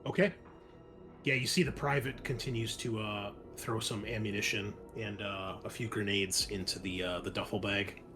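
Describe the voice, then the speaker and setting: A man running a tabletop roleplaying game, narrating and explaining casually in a halting way. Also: lowish voice, steady tone, over music. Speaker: game master.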